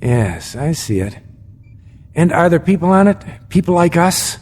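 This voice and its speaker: A man's voice: on the high side, big pitch swings, measured pace, a little mellow, a little hiss or hum. A man playing a determined family man on the run in a radio drama. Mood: relieved wonder. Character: determined family man escaping a doomed planet.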